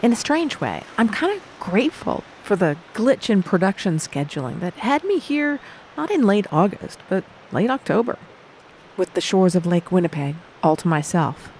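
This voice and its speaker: A woman's voice: mid-range voice, sing-song, moderate pace, a little mellow, slight background noise, low-quality recording. A woman hosting a travel podcast, narrating a scripted story in a reflective, wry manner. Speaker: podcast host.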